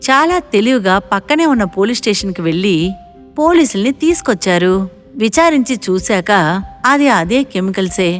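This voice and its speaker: A woman reading a scripted story as its narrator. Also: medium pitch.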